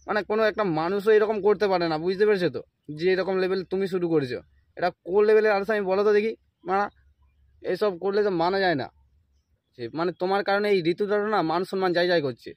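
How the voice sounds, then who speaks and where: High-pitched exasperated man in a comic rant. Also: no echo, lo-fi sound. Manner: exasperated, scolding, complaining with rhetorical questions. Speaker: comedy video creator. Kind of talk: comic ranting monologue addressed to the sun and seasons.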